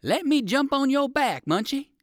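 A man voicing a brave, confident old gentleman rabbit for a children's storybook, speaking emphatically. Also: very high-pitched, big pitch swings, moderate pace, dry recording, studio quality, voice pushed up. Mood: urgent, commanding. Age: older.

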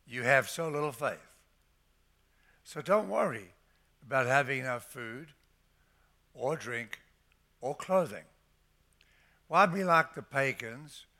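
Bright-toned older preacher, slow and emphatic. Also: male voice, mid-range voice, animated. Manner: emphatic preaching, slows to stress scripture phrases. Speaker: pastor. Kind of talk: sermon. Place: church.

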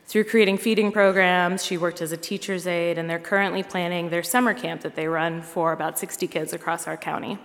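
A woman's voice: fairly low pitch, steady tone, brisk, hardly any pauses. Woman giving testimony in a reverberant hall. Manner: rhythmic, repeating refrains. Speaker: congregation speaker. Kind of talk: earnest personal testimony in church. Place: large church hall.

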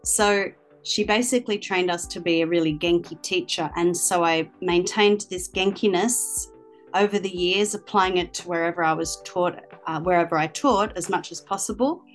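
A woman's voice: lowish voice, steady tone, moderate pace, faint music behind. A woman, a guest in an interview, telling stories about her teaching life with an easy, chatty manner. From Australia. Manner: chatty, storytelling with many ums. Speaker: guest, language teacher and author. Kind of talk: interview answers.

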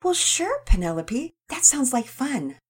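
Woman doing a polite little girl, high-pitched, husky, children's audio story. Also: sing-song, a little slow, exaggerated voice. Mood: friendly. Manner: polite, eager. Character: friendly, polite young girl.

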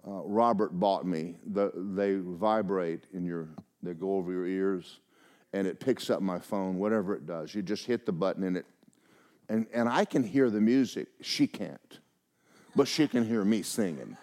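An older man preaching a sermon, telling personal stories in a folksy, animated way with many restarts and acted-out quotes. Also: sits low, normal speed. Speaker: pastor.

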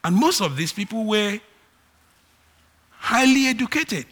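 A man from Nigeria with a Nigerian accent, preaching a sermon and moving between loud emphatic declarations and quiet asides. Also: high voice, big pitch swings, unhurried.

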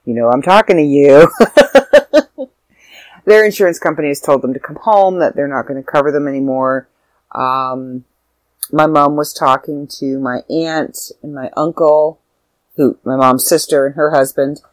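A woman's voice: low-pitched, moderate pace, no room sound. Stuffy, tired woman rambling to camera. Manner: rambling, self-interrupting. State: stuffy, slightly sore throat. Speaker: vlogger. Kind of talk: casual chat to camera. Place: at home.

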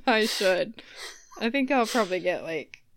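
A woman co-hosting a comedy podcast with casual, self-mocking banter and satirical news jokes, giggly and quick to laugh. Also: mid-range voice, lively intonation, slight background noise, low-quality recording. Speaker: podcast co-host.

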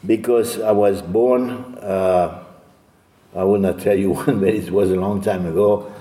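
An older man with a French accent giving a talk as guest speaker, halting with many fillers and restarts. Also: sits low, steady tone, mellow, reverberant, big-room sound. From France. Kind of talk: lecture talk.

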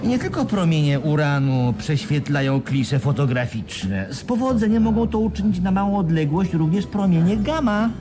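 A man's voice: fairly high pitch, very animated, normal speed, hardly any pauses, noisy background. A male actor in a radio play playing a shrewd, self-satisfied schemer who explains things with patient confidence. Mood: smug, explaining. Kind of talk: Polish radio play acting.

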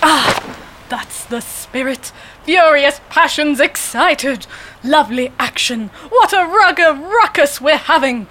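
A woman playing a pompous, jolly, oblivious older British gentleman, a former sportsmaster, with theatrical old-fashioned bluster in a comedy sketch. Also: lively intonation, slow pace, exaggerated voice. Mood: earnest, excited. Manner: booming bluster, old-fashioned posh exclamations.

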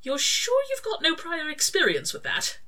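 A woman's voice: extremely high pitch, wildly swooping pitch, moderate pace, pushed and bright, voice pushed up, heavily acted. A woman voicing a fussy, dignified male angel with a formal, proper manner. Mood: mildly impressed. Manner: formal, proper, mildly indignant.

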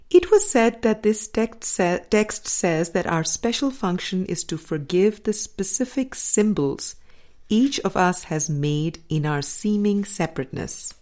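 Woman, audiobook narrator, slow pace, slightly low voice, measured and deliberate phrasing. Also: a little mellow. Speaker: audiobook reader. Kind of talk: scripted narration, reading a spiritual book aloud.

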